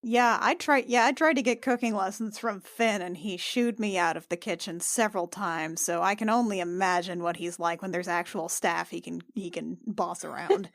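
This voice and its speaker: A woman's voice: mid-range voice, moderate pace, few pauses, a bit bright. A woman chatting casually and playfully as a player in a tabletop roleplaying game.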